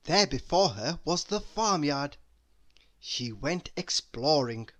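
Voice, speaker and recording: A narrator reading a children's story aloud in a deliberate, expressive storytelling manner. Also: medium pitch. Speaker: storybook reader.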